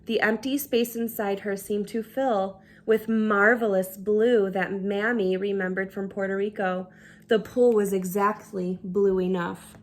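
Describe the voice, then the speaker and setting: A woman storyteller reading a children's book aloud with expressive, deliberate, dramatic phrasing. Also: mid-range voice. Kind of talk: expressive read-aloud narration.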